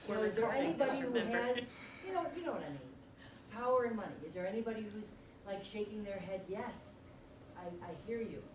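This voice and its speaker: A woman's voice: mid-range voice, brisk. Distant woman calling from a noisy audience. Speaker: audience questioner. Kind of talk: informal spoken question. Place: audience in a hall, off-mic. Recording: echoey hall, far from mic, crowd noise.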